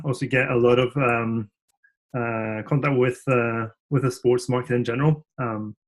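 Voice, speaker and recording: A man from Norway talks as a guest on a tech podcast. His pitch sits at an ordinary male level, and his answers come in a relaxed, thoughtful flow broken by frequent ums and restarts. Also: low-quality recording. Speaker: engineering director, guest. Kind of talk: podcast interview.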